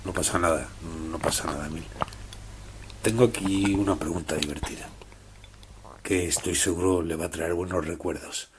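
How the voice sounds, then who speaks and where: A man acting as interviewer in a scripted audiobook interview, with a husky voice and a dry, ironic manner. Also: deep voice, moderate pace, pauses often, noisy background.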